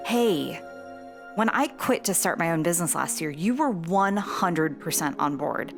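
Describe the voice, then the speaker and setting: A woman's voice: on the low side, animated, measured pace, gravelly. A woman playing a supportive, perceptive wife in a comic dub, speaking with earnest reassurance. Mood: reassuring, earnest. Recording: music behind the voice. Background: music.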